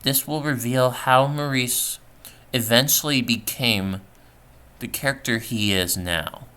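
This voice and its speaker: A man reading a scripted horror story as narrator, somewhat halting with stumbles, on a home recording. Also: medium pitch, sharp tone, clean tone. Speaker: storyteller, channel creator.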